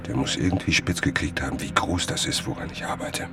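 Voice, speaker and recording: A man's voice: a bass voice, a little fast, over loud music. An actor playing an obsessive, suspicious and self-important male journalist in a German radio drama. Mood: suspicious, tense.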